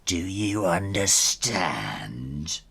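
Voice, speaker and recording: A man's voice: low-pitched, big pitch swings, drawn-out words, vocal fry, sharp tone, breathy edge, voice pushed down, exaggerated voice. A man voicing a bullying, greedy kitten in a menacing, taunting way for a children's story. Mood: threatening.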